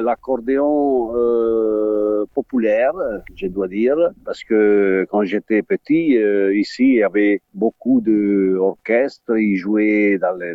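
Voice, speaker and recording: An Italian man from Emilia-Romagna, an accordionist, speaking French with an Italian accent, halting and searching for words with many fillers, over the phone. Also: lowish voice, no room sound. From Italy, Emilia-Romagna. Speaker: accordionist guest. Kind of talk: phone interview. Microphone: telephone. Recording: tinny phone line, dry.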